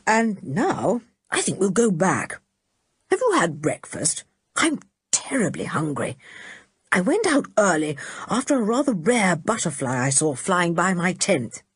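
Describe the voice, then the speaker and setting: A woman voicing a calm, kindly grown man who explains things gently. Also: lowish voice, husky, no echo, studio quality, full character voice. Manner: soothing and unhurried.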